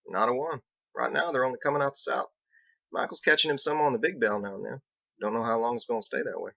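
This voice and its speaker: Biggs, a man voicing a folksy, higher-pitched mason. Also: quick pace, creaky, sharp tone, dry recording, dull audio. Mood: candid, folksy. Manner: drawling rustic speech with dropped words. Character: Biggs, folksy plain-spoken male mason, friendly and shrugging. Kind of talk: audiobook character dialogue.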